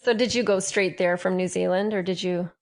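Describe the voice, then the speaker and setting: A woman's voice: on the low side, fairly even intonation, a little fast, a little mellow. A woman hosting a podcast, reading sponsor copy and interviewing a guest in a friendly, upbeat way. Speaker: podcast host.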